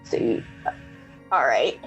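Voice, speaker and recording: A woman's voice: slow, highs cut off. A woman chatting casually as she improvises roleplay, blunt and colloquial, with plenty of filler "like". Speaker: tabletop roleplay player. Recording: music playing under the voice.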